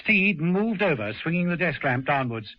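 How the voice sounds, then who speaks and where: A man narrating a radio drama in a measured, storytelling manner. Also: on the high side, big pitch swings, slight background noise. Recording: dull old radio broadcast.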